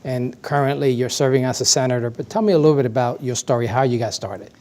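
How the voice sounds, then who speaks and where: A man in his sixties hosts a studio interview show. His pitch sits in the middle, and his delivery is formal, as a presenter's would be. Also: quick pace, a little mellow. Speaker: talk show host. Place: indoor TV studio.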